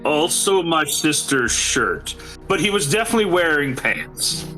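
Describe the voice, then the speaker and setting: A man's voice: fairly high pitch, big pitch swings, unhurried, over music, poor audio. A man playing a tabletop game, chatting casually as he moves in and out of character.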